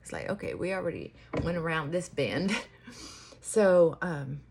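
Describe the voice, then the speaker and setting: A woman vlogger talking in casual, rambling chit-chat, reflective and halting, often trailing off and circling back. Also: low voice, very animated, vocal fry, a little mellow, breathy edge.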